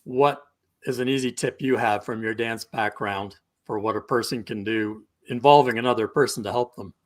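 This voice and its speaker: A man's voice: medium pitch, steady tone, moderate pace. A middle-aged man talking as a couples coach on a live video call, explaining things conversationally with examples. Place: indoor room, video call.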